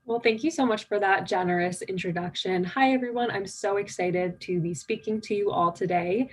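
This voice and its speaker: A woman's voice: mid-range voice, moderate pace. A nineteen-year-old woman from the United States giving a conversational conference keynote, eager and full of fillers. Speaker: keynote speaker.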